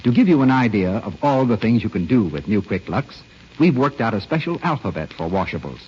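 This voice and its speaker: A man's voice: on the low side, very animated, brisk, a little hiss or hum. A man announcing as host of a 1930s radio show, in a polished, theatrical scripted style. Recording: old muffled radio broadcast, faint music.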